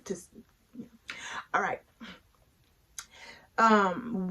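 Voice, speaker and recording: A woman with a medium-pitched voice speaks at a slow pace as she hosts a story time. Her delivery is conversational and playful. She leans on key words and leaves dramatic pauses, like an author presenting her own book. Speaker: author and read-aloud host. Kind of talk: chatty vlog intro and expressive book read-aloud.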